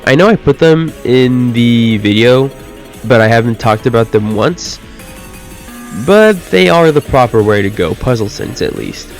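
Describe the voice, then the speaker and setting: A man with a mid-pitched voice delivers a casual opinion video over background music. He sounds exasperated, leaning on rhetorical questions and sarcastic asides that often poke at himself. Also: animated, a little slow. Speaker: video essayist.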